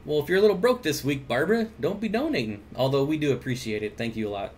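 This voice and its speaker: A man's voice: fairly high pitch, expressive, fast, a little mellow, a little hiss or hum. A man hosting an aquarium livestream, chatting casually and reading viewer comments aloud with jokey asides. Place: home room with aquariums. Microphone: desk mic at computer.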